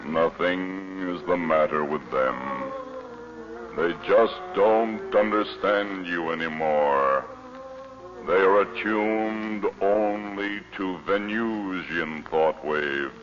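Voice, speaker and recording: An actor playing a cold, imperious alien monster with mind-control powers in a 1950s radio drama, speaking with deliberate command. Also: male voice, low voice. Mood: cold, controlling. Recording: muffled, noisy old 1950 radio recording.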